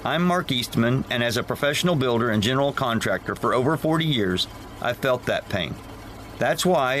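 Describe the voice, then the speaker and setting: A man's voice: mid-range voice, a bit bright, slight background noise. A man in his sixties, a longtime builder, hosting and introducing his podcast in an earnest, direct way. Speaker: podcast host. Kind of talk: scripted show intro.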